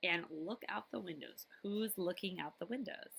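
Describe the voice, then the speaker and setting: A woman's voice: lowish voice, vocal fry, slightly rough. A woman librarian reading a picture book aloud to children expressively, with chatty asides and questions to her listeners. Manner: animated, chatty, pausing to ask listeners questions.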